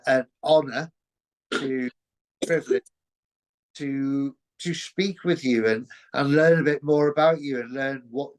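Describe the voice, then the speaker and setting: A man hosting a casual interview, speaking politely and hesitantly. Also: mid-range voice. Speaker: host.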